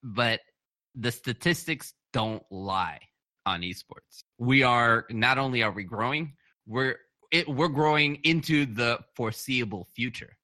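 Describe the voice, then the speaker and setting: Man, Spanish first language, very bright edgy tone, casual, laughs a lot, swears. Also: medium pitch, measured pace. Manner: laughs often, swears, stumbles over words. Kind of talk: casual chat.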